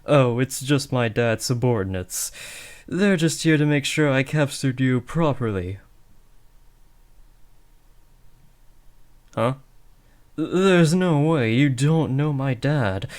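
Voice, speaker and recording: A man's voice: mid-range voice, lively intonation, a little slow, a little mellow, close to an everyday voice. A young man playing a villain's teenage son in a scripted roleplay, taunting theatrically and slipping into sheepish, casual talk. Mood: sheepish, awkward. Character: teenage villain's son playing at kidnapping, cocky but awkward. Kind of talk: scripted roleplay audio addressed to the listener.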